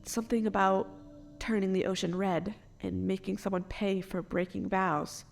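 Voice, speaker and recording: A wronged noble acted by a woman speaks with earnest, formal drama, as a grieving parent pushed toward becoming an assassin. The voice is medium in pitch with a noticeable fry, over light music, in a dark fantasy audio drama. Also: flat delivery, normal speed, natural voice. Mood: shaken.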